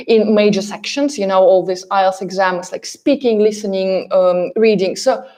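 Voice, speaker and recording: A woman from Russia with a Russian accent gives a motivational coaching talk, speaking emphatically and directly to her listeners with frequent repetition for stress. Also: on the high side. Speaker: relocation coach and course host.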